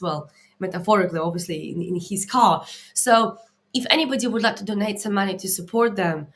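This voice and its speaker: A woman's voice: medium pitch, fairly bright tone. Emphatic woman with an Eastern European accent. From Latvia. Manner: emphatic and earnest, with repeated check-words like "okay" and "right". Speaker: mindset coach and live Q&A host. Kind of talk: live Q&A talk to online viewers, off the cuff.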